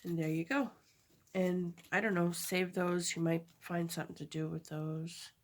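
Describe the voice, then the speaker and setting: A woman with a stuffy nose from a cold, chatting through a craft tutorial at her table, halting often and correcting herself. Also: lowish voice, fairly even intonation. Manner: halting, chatty, many fillers and self-corrections. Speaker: crafter demonstrating a project.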